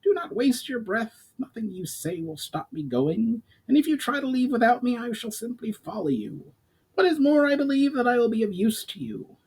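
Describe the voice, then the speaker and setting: A man's voice: very high voice, big pitch swings, moderate pace, darkish tone, husky, pitched above the performer's own voice, exaggerated voice, poor audio. A man voicing a proud, shrewd goblin leader in a storybook reading, speaking formally and insistently. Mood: firm, insistent.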